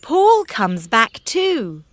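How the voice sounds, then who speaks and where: Slow-speaking woman storyteller over birdsong. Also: a little high, huge pitch swings. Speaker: children's storyteller. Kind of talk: scripted story narration for young children. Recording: birdsong and nature noise behind.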